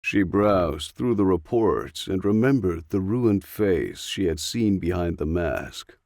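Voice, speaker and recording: Male audiobook reader for scripted fiction narration, lowish gravelly voice, very dry recording. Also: animated, takes it slowly, darkish tone, no room sound.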